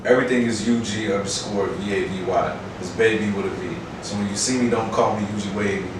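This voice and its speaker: A man's voice: fairly low pitch, quick pace. Playful man in a very echoey room. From Chicago. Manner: playful, animated storytelling. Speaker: guest, rapper and producer. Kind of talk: casual slangy joking chat. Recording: distant, very echoey, noisy room.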